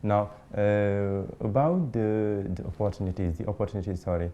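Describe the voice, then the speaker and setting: A man's voice: on the low side, normal speed, mellow, lo-fi sound. A man with a Senegalese accent hosting an interview, asking questions hesitantly with restarts and echoing the guest's words. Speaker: interview host. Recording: faint background noise.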